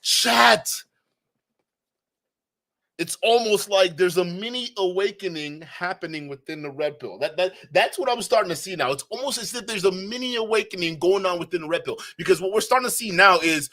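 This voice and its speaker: Man, slight nigerian accent, live-stream commentary host, mocking, laughs a lot, bright voice. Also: high-pitched, lively intonation, moderate pace. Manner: mocking, laughs often, many restarts.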